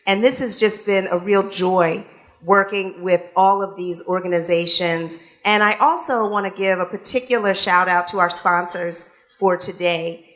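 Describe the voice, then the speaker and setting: A woman's voice: lowish voice, steady tone, moderate pace, fairly bright tone. A woman opening a live panel event as host, speaking casually to an audience in a room, with frequent fillers and restarts. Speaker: radio station executive and event host.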